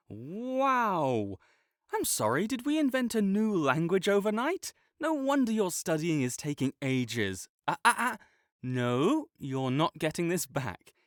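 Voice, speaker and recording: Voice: mid-range voice, dry recording, clean studio sound. A performer voicing a smug sarcastic academic rival. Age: young adult. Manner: teasing, sarcastic, condescending. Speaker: audio roleplay performer. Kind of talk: scripted audio roleplay acting.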